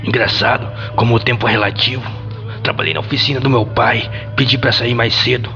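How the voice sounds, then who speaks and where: A man's voice: medium pitch, steady tone, a little fast, gravelly, bright, forceful tone. An actor playing an easygoing, awkward young man on a date in an amateur radio soap, with a Brazilian accent. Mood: casual. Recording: dull lo-fi audio, faint music behind.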